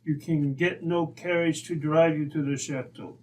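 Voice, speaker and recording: A man's voice: on the high side, fairly even intonation, moderate pace, lightly acted. A man playing an ominous, surly old French coachman with a French accent, grave and terse, in an old-time radio drama. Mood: foreboding. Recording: distant from mic, noisy.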